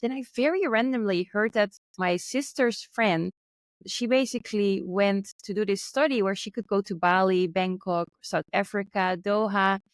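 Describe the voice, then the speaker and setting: A young woman from the Netherlands, guesting on a podcast and telling her story in a friendly, open way. Her voice sits at a middle pitch. The recording is close and dry. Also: normal speed, no echo. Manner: friendly, storytelling, light laughs. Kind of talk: casual interview conversation. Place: Bali, remote call.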